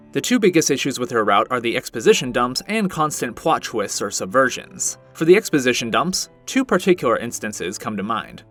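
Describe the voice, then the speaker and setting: Animated male reviewer over music. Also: mid-range voice. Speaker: video reviewer. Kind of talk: scripted review narration with casual asides. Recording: music playing behind the voice. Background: background music.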